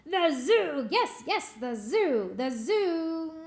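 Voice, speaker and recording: A woman's voice: high-pitched, animated, a little slow. A woman voicing a greedy old witch who screeches and cackles. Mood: excited.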